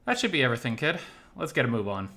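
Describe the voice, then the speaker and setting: Man playing a bright-voiced easygoing survivor mentor. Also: medium pitch, big pitch swings, rapid-fire. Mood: casual. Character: older male survivor, fond, easygoing mentor.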